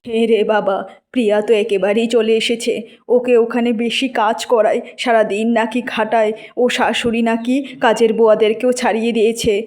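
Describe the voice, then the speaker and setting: A woman puts on an older cartoon mother. Her voice sits on the high side, fond and plaintive, full of worry for her children. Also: level tone, fairly quick, a little mellow, natural voice. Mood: worried.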